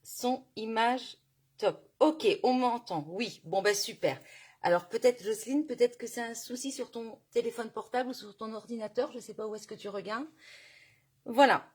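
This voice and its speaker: A woman's voice: on the high side, normal speed, slightly rough. A woman with a cold and sore throat, hosting a casual craft live stream and greeting viewers by name, chatty with many fillers.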